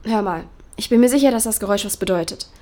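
A young actress playing a grieving, searching daughter in a naturalistic audio drama, talking colloquially and insistently. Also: female voice, mid-range voice, quick pace, dark tone, close to an everyday voice. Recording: clear background ambience noise.

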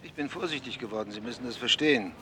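A man's voice: medium pitch, fast talker, background noise, close to an everyday voice. An actor playing a cautious male investigative journalist who answers in guarded, clipped lines. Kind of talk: German TV drama acting.

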